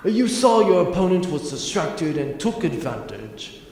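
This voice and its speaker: A man's voice: on the high side, very animated, a little mellow, voice pushed down. A man voicing a grandiose, theatrical old villainous commodore in a comic space-opera audio drama. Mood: smug. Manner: slow, theatrical, speechifying. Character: grandiose old traitorous male commodore, villainous former mentor. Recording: very echoey hall reverb, music behind.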